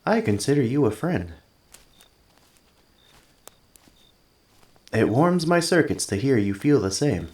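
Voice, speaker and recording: A man's voice: medium pitch, a little mellow, roomy sound. A man playing a polite, earnest android assistant in an ASMR roleplay, speaking formally and precisely through a robotic voice effect. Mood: warm, earnest. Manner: formal, precise, literal-minded phrasing. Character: polite, earnest male android tenant assistant, gentle and curious. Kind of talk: ASMR roleplay, scripted one-sided conversation.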